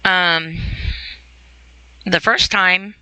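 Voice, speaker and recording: Bright-voiced woman podcaster, close and dry. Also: fairly low pitch, fairly even intonation, very slow, clear, resonant tone, no echo, low-quality recording. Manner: emphatic, repeats phrases for stress. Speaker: podcast host. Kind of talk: informal opinionated podcast monologue.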